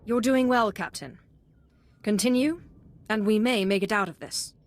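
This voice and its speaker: An actress playing a poised, confident Sith in a video game, speaking with cool, deliberate assurance. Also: female voice, medium pitch, natural voice. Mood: composed. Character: poised, confident young female Sith warrior-mystic.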